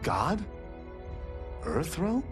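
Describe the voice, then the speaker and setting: A young martial arts hero, brash and defiant. His delivery swings widely in pitch at an unhurried pace, over clear game music. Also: huge pitch swings, full character voice. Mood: surprised, eager. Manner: brash, taunting. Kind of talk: video game cutscene acting. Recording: music behind the voice.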